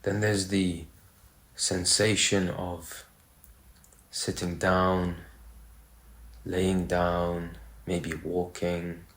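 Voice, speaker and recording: Very slow, low-voiced man guiding meditation. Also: flat delivery, a bit bright. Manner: slow, pausing between short phrases. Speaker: meditation guide. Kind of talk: guided meditation. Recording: roomy, echoey sound.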